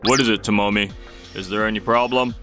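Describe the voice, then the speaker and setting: A man voicing a measured, businesslike company boss in a dubbed comic drama. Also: on the low side, fairly even intonation, a bit bright, soft background music, lightly acted. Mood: pleased. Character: measured, businesslike male company boss, middle-aged or older.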